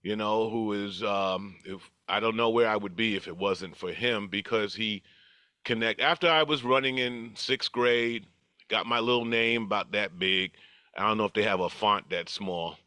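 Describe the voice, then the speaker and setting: A man's voice: fairly low pitch, fairly even intonation, normal speed, bright, forceful tone, clear, resonant tone, dry recording. An older man telling stories from his life as a podcast guest, casual, chuckling through anecdotes and acting out dialogue. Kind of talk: casual reminiscing storytelling.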